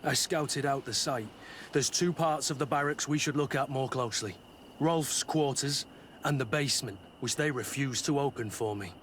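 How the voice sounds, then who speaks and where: Man acting a blunt soldier, medium pitch. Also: normal speed, fairly bright tone, a little hiss or hum, lightly acted. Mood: resolute. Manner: terse, blunt. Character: stern, honourable male mercenary soldier, plain-spoken. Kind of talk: video game cutscene acting.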